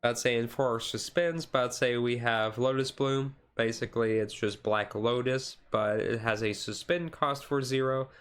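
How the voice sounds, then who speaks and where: A man streaming casual, chill card game commentary in a halting, rambling way with frequent asides. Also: mid-range voice, fairly even intonation, fairly bright tone, smooth. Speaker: streamer host.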